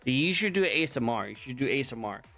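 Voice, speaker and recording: A man's voice: medium pitch, animated, fast. Male host, casual and upbeat, repeats himself, plays along with jokes, faint music behind. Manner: upbeat, repeats phrases, playful. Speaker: talk show host. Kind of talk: casual interview chat.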